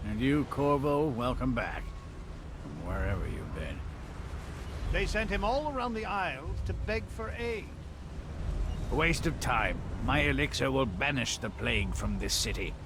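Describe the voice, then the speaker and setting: A cynical man of the imperial court in a video game. His delivery is haughty and dry, rising and dipping freely. There is clear noise around the voice. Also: medium pitch, lively intonation, unhurried. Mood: condescending. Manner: condescending, dry. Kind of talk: dramatic video game acting. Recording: game scene with loud ambient noise.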